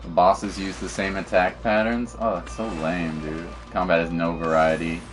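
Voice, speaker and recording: Low male voice, offhand streamer chat, slangy, casual, faint music behind. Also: level tone, moderate pace. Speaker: game streamer.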